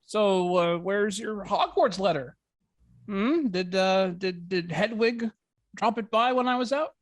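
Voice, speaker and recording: A woman reads a young boyfriend in an informal drama table read. The character is supportive and jokey, with a halting, slightly awkward delivery that stumbles into repeated words. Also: mid-range voice, animated, no echo, lightly acted. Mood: teasing. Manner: hesitant, stammering.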